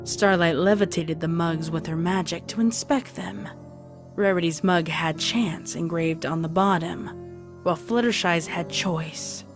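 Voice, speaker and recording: A woman with a fairly low voice narrates a story. She reads scripted prose in the narrator's role, with music playing behind her.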